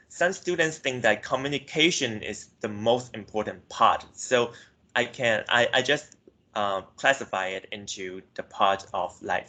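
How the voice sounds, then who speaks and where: A young man with a fairly low voice presents research findings to a class. He speaks hesitantly, leaning on filler words and often correcting himself mid-sentence. He grew up in Myanmar. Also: pushed and bright. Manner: hesitant, frequent "like" and self-corrections. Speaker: student presenter.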